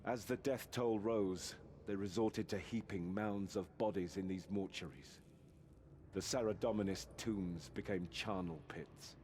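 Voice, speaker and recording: Low-voiced, serious fantasy knight. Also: male voice, a little mellow, a little hiss or hum. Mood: grim, indignant. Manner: serious, formal, measured. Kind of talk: dramatic fantasy game voice acting.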